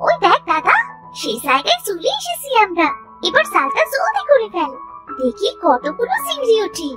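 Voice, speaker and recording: Very high-pitched, excitable little girl. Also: moderate pace, music in the background, full character voice. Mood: eager. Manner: fretful, excitable. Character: timid, chattering little village girl, younger sister. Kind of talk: children's cartoon dialogue.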